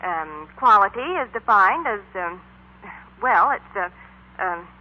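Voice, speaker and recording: Scatterbrained female pupil: hesitant, wildly varied pitch, old radio comedy ad. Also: animated, slow, lo-fi sound. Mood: flustered, eager. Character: ditzy, flustered, eager female quiz pupil. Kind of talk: 1940s radio ad skit. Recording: band-limited 1940s radio recording.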